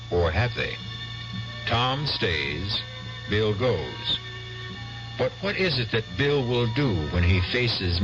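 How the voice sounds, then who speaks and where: A man hosting and narrating an old radio mystery show in an ominous, measured way. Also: on the low side. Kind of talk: slow dramatic radio narration. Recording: dull old radio broadcast over music.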